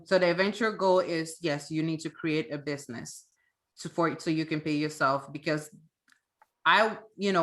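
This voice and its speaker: Woman, financial coach as webinar guest. Low-pitched, bright and forceful, emphatic conversational explainer. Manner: emphatic, checks with rhetorical "right?".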